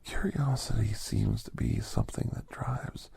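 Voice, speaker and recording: A man voicing a kindly, reflective older doctor in soft, half-whispered ASMR storytelling, with breathy, halting short phrases. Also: lowish voice, wildly swooping pitch, exaggerated voice. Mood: hushed, reflective. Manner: unhurried, pausing between short phrases. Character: older doctor recounting his gentle alien encounters, reflective, kindly.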